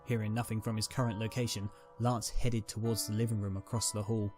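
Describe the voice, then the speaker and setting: A man's voice: on the low side, level tone, brisk. A man reading a dramatic story as a scripted narrator. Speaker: narrator, reader. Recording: music behind the voice. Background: background music.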